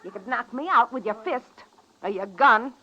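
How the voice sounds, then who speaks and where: A woman's voice: on the high side, animated, moderate pace, bright, forceful tone. An actress playing a defiant, taunting frontier woman, insolent and teasing in a 1950s western radio drama. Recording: dull, noisy vintage radio recording.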